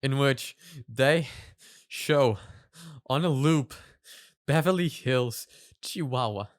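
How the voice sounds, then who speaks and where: A man hosting a reaction video, giving casual commentary in a halting way as he rephrases his explanations. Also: mid-range voice, dry recording, clean studio sound.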